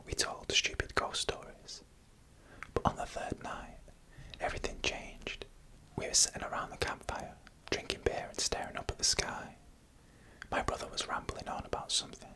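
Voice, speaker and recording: A storyteller who never rises above a whisper, with a bright, crisp edge to the breath. The delivery is soft and unhurried ASMR narration, spoken close to the microphone for headphone listening. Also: poor audio. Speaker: narrator of scary UFO encounter stories.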